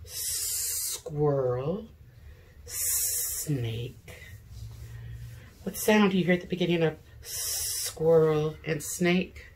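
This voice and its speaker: A middle-aged woman, an elementary teacher leading a read-aloud lesson for young children, speaking deliberately, sounding out words and asking questions. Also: sits low, darkish tone, slight background noise, rough recording. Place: living room at home, seated in an armchair.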